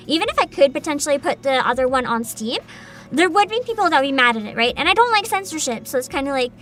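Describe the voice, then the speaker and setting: A woman's voice: high-pitched, pushed and bright. A woman streamer chatting casually on a livestream, thinking aloud, hesitant and often laughing.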